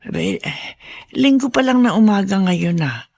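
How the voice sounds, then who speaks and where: A male actor playing a concerned, inquisitive friend in a Filipino radio drama, with expressive, drawn-out questions. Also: high-pitched, gravelly, heavily acted.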